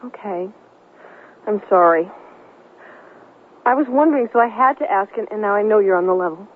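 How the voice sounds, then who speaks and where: A woman's voice: medium pitch, normal speed, husky, close to an everyday voice. A woman acting an anxious, caring but doubting girlfriend who pleads emphatically in a 1970s radio drama. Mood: apologetic pleading. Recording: muffled, tinny old radio broadcast.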